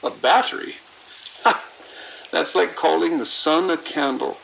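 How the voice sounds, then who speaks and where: A proud, lofty male scientist, played by an actor, with a heavily creaky, higher-pitched voice. He lectures grandly and condescendingly in audio drama style over faint hiss. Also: a little slow, fairly bright tone, full character voice, low-quality recording. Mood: grandiose. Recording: hiss and rain-like noise.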